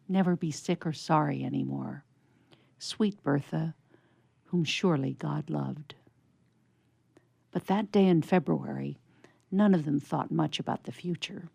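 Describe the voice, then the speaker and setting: A woman narrator reading an old-fashioned story in a measured, expressive storybook style. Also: low voice, creaky, dry recording.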